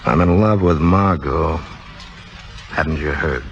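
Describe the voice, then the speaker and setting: A man's voice: rumbling low, slow pace, gravelly, heavily acted. An actor playing a blunt, loyal man in love, plainspoken and wry, in a 1950s radio drama. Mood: wry, firm. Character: blunt, loyal leading man, Broadway star's lover. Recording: dull, lo-fi old radio recording.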